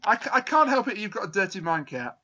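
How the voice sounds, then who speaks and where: High bright guy hosting a review podcast, wordy, dry jokes, dull lo-fi call audio. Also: very animated, quick pace, background noise. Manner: wordy, self-correcting, dry humor. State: tired, late at night. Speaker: podcast host. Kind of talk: casual review discussion.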